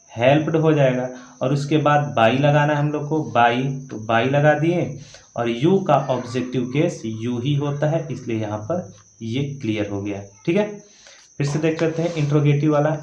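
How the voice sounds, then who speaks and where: A man's voice: medium pitch, a little mellow. A man teaching grammar step by step, repeating key points and checking in often with short tags. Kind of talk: classroom-style grammar lesson, explaining rules step by step. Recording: echoey room.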